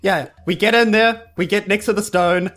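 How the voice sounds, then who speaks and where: A woman's voice: mid-range voice, fairly even intonation, normal speed, a crowd in the background. A woman trading casual, joking banter as a player in a tabletop game.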